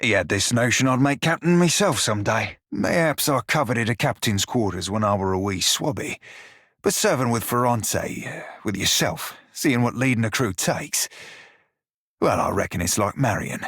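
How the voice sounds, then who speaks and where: An actor playing an irreverent, gruff but soft-hearted furry pirate shiphunter in a video game, speaking in a folksy pirate dialect. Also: male voice, mid-range voice, animated, moderate pace, dry recording, clean studio sound. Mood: sincere, fond. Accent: stylized pirate dialect. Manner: colloquial, folksy, archaic sailor's turns of phrase. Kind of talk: video game character dialogue.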